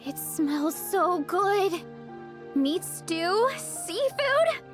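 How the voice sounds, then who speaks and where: A bubbly young heroine with a high voice, earnest and eager, as heard in an anime game. Music plays clearly behind the lines. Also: female voice, sing-song, drawn-out words, full character voice. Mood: upbeat, eager. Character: cheerful, ravenous young heroine, earnest comic-book fan. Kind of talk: anime-style game voice acting.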